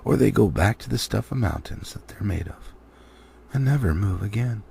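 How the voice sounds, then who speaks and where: Man, expressive audiobook narration, gravelly fry, animated pitch. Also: moderate pace, slight background noise. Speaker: audiobook reader.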